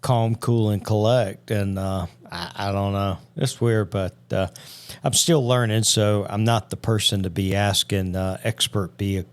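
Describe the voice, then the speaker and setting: Folksy podcast guy, kinda low voice, super relaxed, keeps saying man and dude. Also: a little slow, smooth. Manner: laid-back, folksy, says "man" and "dude". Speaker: podcast co-host. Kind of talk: casual chat.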